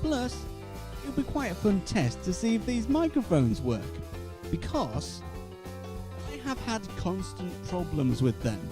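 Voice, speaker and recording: A man's voice: sits high, huge pitch swings, measured pace. A man hosting a casual online radio-style show in a rambling, self-deprecating way. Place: home studio and downstairs rooms. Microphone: switches between wireless and studio microphones. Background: background music in places.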